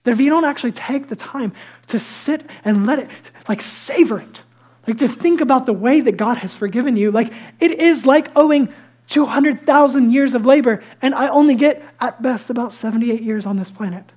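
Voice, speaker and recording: Male pastor, high light voice, lively conversational sermon, hushed asides. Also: moderate pace. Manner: lively storytelling that drops to hushed, near-whispered asides; self-deprecating and conversational.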